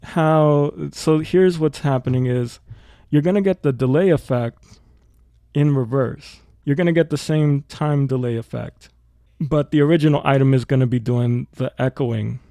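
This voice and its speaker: A man explaining audio editing informally, thinking aloud as he works through a software tutorial. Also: medium pitch, unhurried, no echo, clean studio sound.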